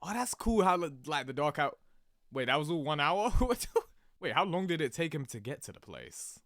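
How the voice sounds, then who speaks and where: Animated, slangy man. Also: fairly high pitch, normal speed, no room sound, clean studio sound. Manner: informal, slangy, expressive. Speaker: video host reacting to game cutscenes. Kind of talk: casual reaction commentary.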